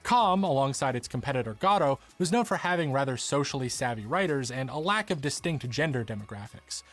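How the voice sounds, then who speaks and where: Male narrator for a scripted video essay: explanatory delivery, wry asides. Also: medium pitch.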